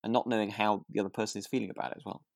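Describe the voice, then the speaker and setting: A man's voice: lowish voice, fairly even intonation, racing, gravelly, no room sound, clean studio sound. A man with a British accent chatting casually as a podcast co-host, agreeable and a little rambling. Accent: British English. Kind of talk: casual chat about a radio drama.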